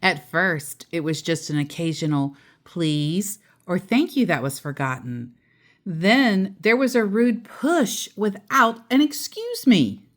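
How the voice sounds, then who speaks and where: A woman storyteller reading a children's picture book aloud expressively, drawing out key words and adding playful asides. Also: on the low side. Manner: animated, drawn-out emphasis with playful asides.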